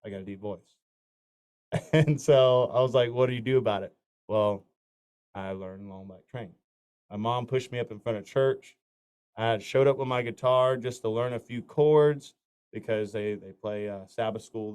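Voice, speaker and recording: Smooth-voiced man telling stories. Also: fairly low pitch, moderate pace, a little mellow. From north Florida. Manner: rambling anecdotes with many fillers and restarts. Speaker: country singer, interview guest. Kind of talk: casual storytelling interview.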